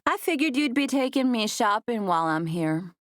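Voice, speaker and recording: A woman voicing an entitled young Southern woman who speaks in a slow, syrupy drawl in an audiobook. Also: fairly high pitch, expressive, a bit bright, no room sound, studio quality, voice pushed up. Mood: coaxing.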